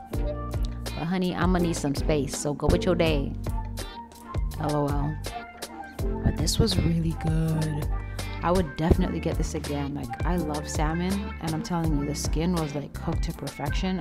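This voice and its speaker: A young woman, giving a chatty, playful and expressive casual vlog voice-over. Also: low voice. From Canada. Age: young adult. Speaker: vlogger, food reviewer. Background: background music.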